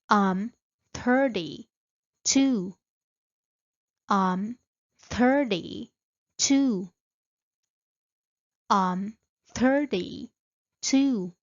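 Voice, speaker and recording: A woman reading short English practice phrases slowly and repeating them, with Vietnamese translations between repetitions. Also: fairly high pitch, highs cut off. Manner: very slow, evenly spaced phrases.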